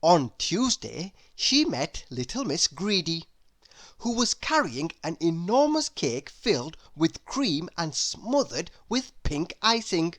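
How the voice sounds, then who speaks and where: A woman narrating a children's story in a measured, expressive read-aloud style. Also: lowish voice. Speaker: storybook reader.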